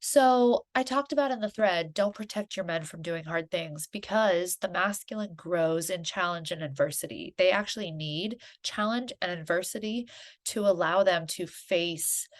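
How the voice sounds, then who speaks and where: A woman podcast host with a congested, stuffy voice from a head cold. She talks in an earnest, encouraging, conversational way, pitched a little lower than most women. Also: normal speed. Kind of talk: solo podcast monologue.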